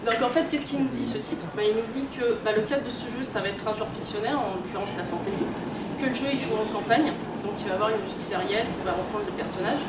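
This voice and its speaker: Female lecturer, conversational and hesitant, mid-pitched, recorded at a distance with clear background noise. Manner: hesitant, filler-heavy, conversational delivery. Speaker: lecturer, games researcher and editor. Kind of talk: informal conference talk. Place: indoor convention talk room. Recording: roomy, noisy convention room, distant mic.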